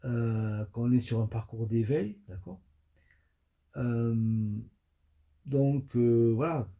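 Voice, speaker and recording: Dark-voiced man with a stuffy nose. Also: medium pitch. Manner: halting, many fillers and restarts. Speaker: spiritual coach. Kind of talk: hesitant spoken audio monologue.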